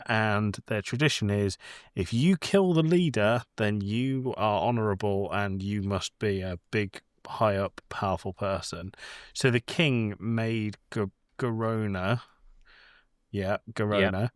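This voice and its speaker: A man's voice: fairly low pitch, measured pace, clear, resonant tone, no echo, studio quality. A man co-hosting a film podcast, explaining things in an informal, rambling, sweary way. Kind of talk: casual film chat.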